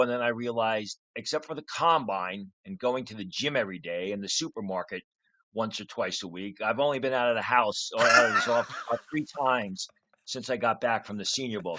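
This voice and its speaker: A hoarse man in his sixties, a draft analyst telling stories in casual podcast chat. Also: lowish voice, fairly quick, pushed and bright. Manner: storytelling, matter-of-fact.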